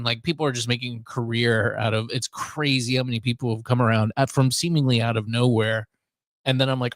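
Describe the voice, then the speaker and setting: A middle-aged man with an American accent talks casually as a podcast host. His pitch sits in an ordinary male range, and the recording is close and dry, with no room sound. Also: a bit bright, no echo. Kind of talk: casual chat.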